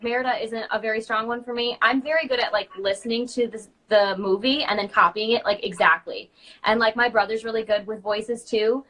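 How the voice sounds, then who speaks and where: Slightly high-voiced young woman. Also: sharp tone, poor audio. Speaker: guest, princess party performer. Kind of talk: casual chat, sharing tips.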